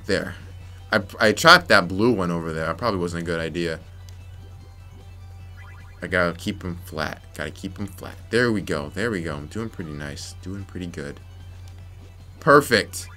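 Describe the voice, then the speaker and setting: Young male let's-player, raspy from yelling, bright voice, faint game music underneath. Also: sits low. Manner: frustrated, muttering to himself, sudden yelps. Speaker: gameplay commentator. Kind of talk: let's play commentary.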